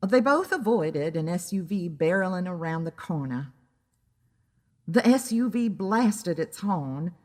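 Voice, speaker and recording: A woman narrates a scripted story in a deliberate, dramatic way. She takes her time, her pitch moves expressively, and the sound carries a long hall reverb. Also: darkish tone.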